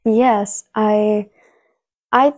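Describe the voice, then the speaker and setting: A young woman, a native Spanish speaker talking in English, telling stories from her acting work in a relaxed interview with many restarts. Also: medium pitch, extremely slow, dry recording. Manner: storytelling, relaxed, frequent "like" and restarts. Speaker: actress, interview guest. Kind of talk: casual interview answers.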